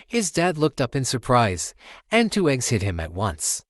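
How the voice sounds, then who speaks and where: A man reads a children's storybook in an expressive, animated way. His middling voice moves freely up and down at an unhurried pace. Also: medium pitch, no echo, clean studio sound. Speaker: storytime narrator.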